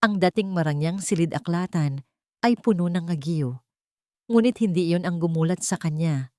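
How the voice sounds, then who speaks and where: A woman narrates a dramatic audio story in a low-pitched voice. Her phrasing is deliberate and suspenseful, and the recording is close and dry. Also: mellow, no room sound.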